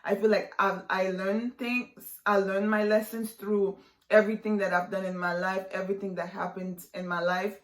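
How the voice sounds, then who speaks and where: A woman's voice: medium pitch, flat delivery, normal speed. Chatty woman in her twenties, roomy sound. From Haiti. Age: 20s. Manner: chatty, lots of fillers and likes. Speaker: vlogger. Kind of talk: casual vlog chat to camera.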